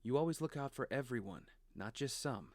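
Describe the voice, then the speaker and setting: A man's voice: medium pitch, lively intonation, a little fast, dry recording, clean studio sound, lightly acted. An actor playing an earnest family man and father in an audio drama, with a conversational manner. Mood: subdued, reflective.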